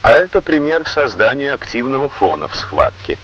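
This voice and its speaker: A man reading scripted instructional narration in a formal, measured, didactic way, on an old Soviet educational film soundtrack. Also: mid-range voice, very animated, fairly bright tone, highs cut off, a little hiss or hum.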